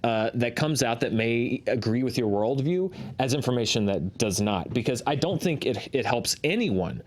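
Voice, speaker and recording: A man hosts an analysis show in a conversational style. He explains things earnestly, often stopping to rephrase or correct himself mid-thought. His pitch sits in an ordinary middle range for a man. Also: animated, moderate pace. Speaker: host of a box office analysis show. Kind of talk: conversational box office commentary.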